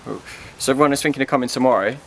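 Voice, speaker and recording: A man's voice: mid-range voice, rapid-fire, gravelly, slight background noise, rough recording. A man asking informal questions as an interviewer.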